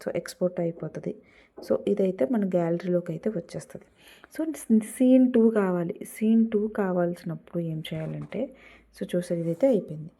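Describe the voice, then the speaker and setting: A woman walks through a tutorial casually, step by step. Her voice is a little low, mellow and husky, with English words mixed in. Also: normal speed. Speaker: tutorial host.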